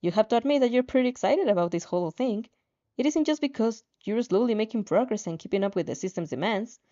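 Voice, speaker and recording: Expressive woman reading aloud, dull lo-fi sound. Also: a little high, fast talker. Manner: animated, playful asides, stumbles over words. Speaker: fan fiction reader reacting as she reads. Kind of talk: expressive story narration with casual reactions.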